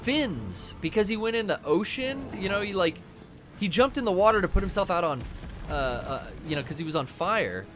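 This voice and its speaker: A man co-hosting a game stream in casual chat, animated and swearing freely as he plays. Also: high-pitched, moderate pace. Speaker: stream co-host playing the game.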